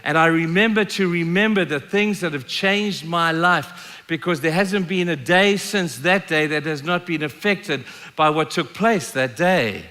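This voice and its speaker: Male preacher, sixties, from Zimbabwe. Forceful, bright, emphatic delivery of sermon and scripture, long hall reverb. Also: a little high, measured pace. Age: 60s. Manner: emphatic, repeats key phrases for effect. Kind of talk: sermon with storytelling and scripture reading.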